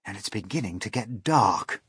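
A man voicing a kindly, cautious old grasshopper in a storybook, speaking in a measured, formal way. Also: lowish voice, lively intonation, fairly bright tone, breathy edge, no room sound, clean studio sound, full character voice. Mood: calm.

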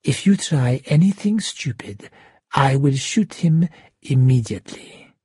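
A man voicing an angry, cold gunman in an audiobook, giving hard, clipped, often hushed threats. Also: medium pitch, expressive, unhurried, husky, dry recording, studio quality, heavily acted. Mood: quiet threat. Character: menacing, angry male gunman, cold and commanding. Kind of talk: audiobook character voice.

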